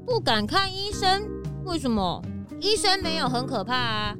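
An eager young detective's helper played by a woman in a children's mystery drama. The voice is high and bouncy in pitch, perky and curious, over music. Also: sing-song, normal speed. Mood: curious, concerned.

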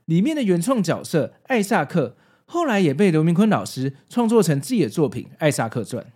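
Man, forties, Taiwanese Mandarin accent, slightly high voice, very animated intonation, explanatory nostalgic narration, casual asides. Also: fairly quick, slightly rough. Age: 40s. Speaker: host. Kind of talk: scripted video essay narration with casual asides.

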